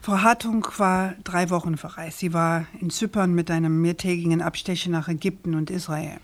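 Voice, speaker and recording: Low-voiced, persistent woman journalist played by an actress. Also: fairly even intonation, moderate pace, lightly acted. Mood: composed. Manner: probing, insistent, articulate. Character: persistent, composed female investigative journalist. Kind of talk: radio crime drama dialogue.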